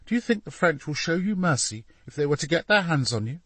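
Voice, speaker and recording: A man's voice: on the high side, sing-song, fast talker, a bit bright, no echo, pitched above the performer's own voice. A man voicing a distraught, imploring noblewoman, a duke's mistress, in an audiobook story. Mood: haughty scolding. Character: distraught pleading mistress of a duke, woman.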